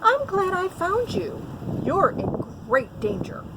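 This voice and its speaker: A woman voicing a silly, quick-witted male goose in a children's story, exclaiming as he spins a tall tale. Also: very high voice, sing-song, noisy background, voice pushed up, full character voice. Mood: cunning.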